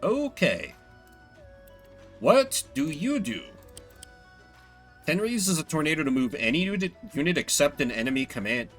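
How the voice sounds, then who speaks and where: A man giving live game commentary over faint music. His voice sits a little high and swings wildly in pitch as he reacts in an animated, profane way. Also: measured pace, pauses often. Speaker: game streamer. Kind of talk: excited gaming livestream commentary.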